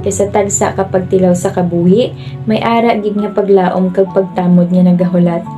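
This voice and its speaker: Filipino-accented woman narrator, mid pitch. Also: level tone, moderate pace. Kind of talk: scripted radio drama narration. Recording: music bed behind the voice.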